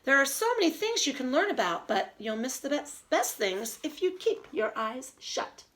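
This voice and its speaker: A woman teacher reading aloud and giving a lesson to young children in an expressive, child-directed way. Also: sits high, normal speed. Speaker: early elementary teacher.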